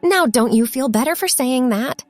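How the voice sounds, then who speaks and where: A woman's voice: sits high, expressive, moderate pace, dry recording, faint music behind, voice pushed up. A woman voicing an insistent mother in an audiobook story, coaxing and firm as she presses her child. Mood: pressing, insistent.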